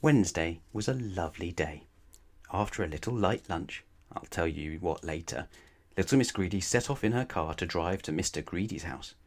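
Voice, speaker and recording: A man's voice: low voice, lively intonation. A man reading a children's bedtime story aloud in a playful, emphatic way, addressing the young listener. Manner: playful, emphatic, drawn-out key words, rhetorical questions to the listener. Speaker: storybook reader.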